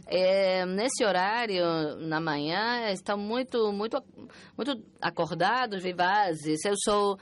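A woman working as a conference interpreter, interpreting a talk with an uneven pace that rushes and then slows, pausing and restarting often. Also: medium pitch. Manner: uneven pace, alternately rushing and slowing.

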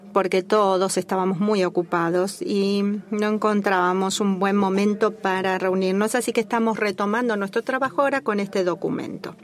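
A woman giving a simultaneous interpretation of a formal working-group call, speaking in a measured, formal way with small restarts. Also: mid-range voice.

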